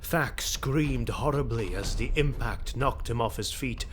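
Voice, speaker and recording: A man narrating a fantasy adventure drama in a grave, deliberate, dramatic manner. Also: medium pitch, a bit bright, slight background noise. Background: music.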